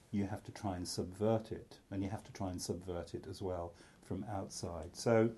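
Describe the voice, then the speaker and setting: A middle-aged psychiatrist from North Wales giving a candid, self-deprecating talk to an audience, full of restarts and asides. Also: male voice, low voice, dark tone, a little hiss or hum.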